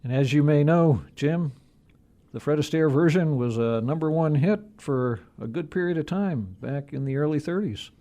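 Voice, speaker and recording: A man hosting a radio interview, speaking conversationally in a measured, courteous way as he puts questions to his guest. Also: on the high side, mellow, slight background noise.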